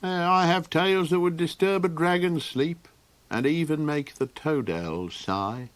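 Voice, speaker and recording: A man voicing an excitable, irritable little old fantasy creature in a high, quavering, hesitant voice for a storybook. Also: a little slow, dry recording, close to an everyday voice. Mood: wheedling, wistful. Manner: hesitant, sputtering with uhs.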